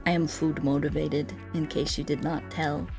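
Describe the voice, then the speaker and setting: A woman's voice: low voice, little pitch movement, normal speed, a little mellow, soft background music. A woman livestreaming a game, chatting casually in a goofy, playful, rambling way. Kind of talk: casual livestream chat while playing.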